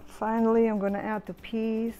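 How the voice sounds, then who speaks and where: A middle-aged woman calmly talking through her cooking steps in a chatty home tutorial voice-over. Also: a little high, moderate pace, faint music behind. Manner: relaxed, chatty step-by-step explaining. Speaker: home cook.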